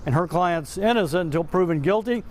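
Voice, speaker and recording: Higher-pitched American man reporting the news. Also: animated, a little fast, a little mellow, a little hiss or hum. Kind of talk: scripted news narration.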